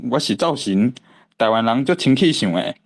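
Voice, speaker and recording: Man reading pleading Kitchen God, middle pitch. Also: lively intonation, normal speed, close to an everyday voice.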